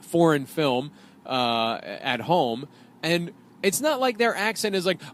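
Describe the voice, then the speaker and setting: Rambling male co-host, slightly high. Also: lively intonation, slow pace, slight background noise. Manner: rambling, heated when riled, many fillers. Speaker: podcast co-host, wrestling trainer. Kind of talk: casual banter while driving. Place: inside a car.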